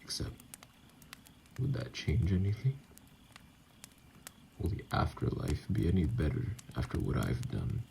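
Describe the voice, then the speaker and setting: Lonely self-loathing vampire played by a male actor, very deep and heavily creaky, halting and breathy, for an ASMR audio drama. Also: huge pitch swings, mellow, a little hiss or hum, heavily acted. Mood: lonely, resigned. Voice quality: gravelly, breathy, often dropping to a whisper. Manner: slow, halting, brooding delivery. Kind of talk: ASMR roleplay monologue.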